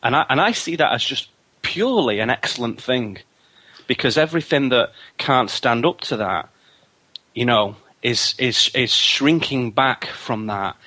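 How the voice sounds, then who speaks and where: A man speaking as a guest in a radio interview, measured and reflective, often repeating words as he gathers his thoughts. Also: medium pitch, sharp tone, rough recording. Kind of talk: reflective spiritual interview talk.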